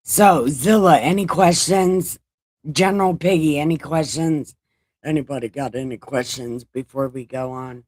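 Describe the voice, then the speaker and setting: A woman's voice: low voice, a little slow, a little mellow, no room sound. A woman around forty who reads scripture to a live chat in a casual way, with profane, joking asides between passages. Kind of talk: casual read-along chat.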